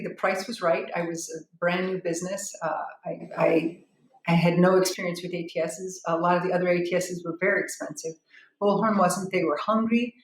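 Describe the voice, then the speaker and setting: Woman, slightly low voice, business-owner testimonial. Halting, unscripted, frank delivery; roomy sound. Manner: hesitant, halting, with repeated words. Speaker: business owner giving a software review.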